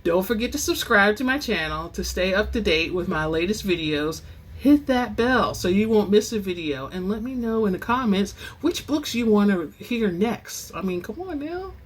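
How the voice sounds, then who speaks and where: A narrator reading and presenting fiction in an expressive, theatrical storytelling manner as host of a reading channel. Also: mid-range voice, normal speed. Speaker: audiobook-style reader and channel host. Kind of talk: dramatic book narration; casual chatty sign-off to listeners.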